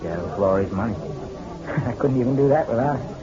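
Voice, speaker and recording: A man's voice: mid-range voice, lively intonation, a little fast, noisy background, natural voice. A man playing a bitter, self-pitying drunk and grieving husband in a detective radio drama, world-weary and sardonic. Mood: self-pitying, regretful. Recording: muffled radio recording, music underneath.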